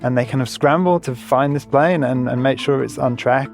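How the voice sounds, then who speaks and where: A man's voice: mid-range voice, normal speed, gravelly, soft background music. A man in the role of a reporter, explaining a story in an informal, conversational way. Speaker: reporter being interviewed.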